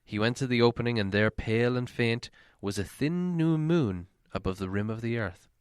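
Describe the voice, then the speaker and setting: A man's voice: medium pitch, moderate pace, clear, resonant tone, dry recording, studio quality. A man reading a story aloud as a dramatic audiobook narrator.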